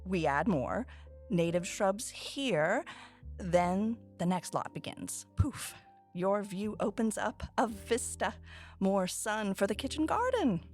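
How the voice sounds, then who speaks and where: A woman's voice: medium pitch, animated, takes it slowly, a little mellow, faint music behind. A woman acting in an audio drama as a poised, assertive land developer who persuades with polished, theatrical self-assurance. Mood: grandly selling.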